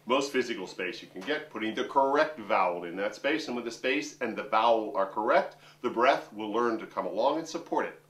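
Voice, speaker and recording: A man's voice: on the low side, animated, brisk, few pauses, a bit bright. Joking middle-aged male vocal coach, roomy. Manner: chatty, joking, addresses viewers directly. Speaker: vocal coach and opera singer. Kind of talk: instructional talk to camera. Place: room with a piano. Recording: roomy sound, mic at a distance.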